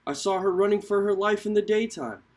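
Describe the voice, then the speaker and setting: A man's voice: high-pitched, a little fast, a little mellow, voice pushed up, close to an everyday voice. A man voicing a big male crow in a storybook, insistent and self-justifying. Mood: defensive.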